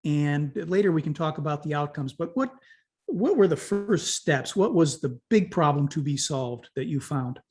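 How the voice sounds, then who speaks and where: A man's voice: fairly high pitch, animated, normal speed. A man hosting an interview, chatting easily and prompting his guest with questions. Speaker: interview host. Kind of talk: conversational interview.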